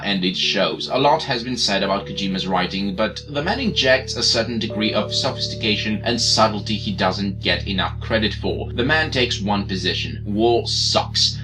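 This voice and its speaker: Low-voiced man reading a game review. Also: rough recording. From Greece. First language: Greek. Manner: steady, opinionated, matter-of-fact. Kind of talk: scripted review narration. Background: music.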